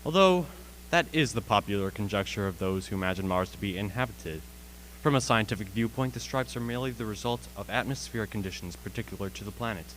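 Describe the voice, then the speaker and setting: A young man playing a learned, assured astronomy professor in a measured, formal radio play style. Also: on the low side, a bit bright, slight background noise, close to an everyday voice, rough recording. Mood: assured, scholarly.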